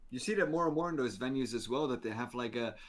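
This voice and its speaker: Chill guy cohosting a podcast, kinda halting, repeats words a lot. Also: medium pitch. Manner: easygoing, hesitant with repeated words. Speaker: podcast co-host. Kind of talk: casual chat.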